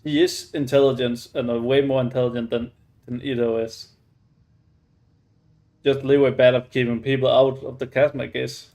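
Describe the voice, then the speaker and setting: A man livestreaming a game and chatting casually, halting and repeating himself. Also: mid-range voice, flat delivery, a little mellow. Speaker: game streamer.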